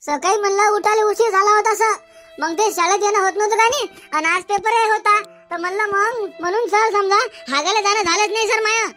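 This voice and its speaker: A man voicing a cheeky, boastful village schoolboy in a comedy cartoon, arguing in a Varhadi Marathi accent through a pitched-up effect. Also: fairly even intonation, fairly quick, sharp tone, faint music behind. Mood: sheepish excuses. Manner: argumentative, indignant, backtalking.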